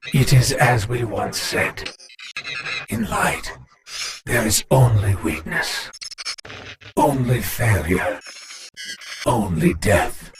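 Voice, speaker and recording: An ominous dark entity from a video game. It speaks in grave, gravelly, seductive pronouncements, very slowly. The sound is distant and echoing over noisy ambience. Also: male voice, lowish voice, heavily acted. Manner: slow, portentous. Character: ominous seductive male cosmic darkness entity, grave and menacing. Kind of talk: dramatic video game monologue.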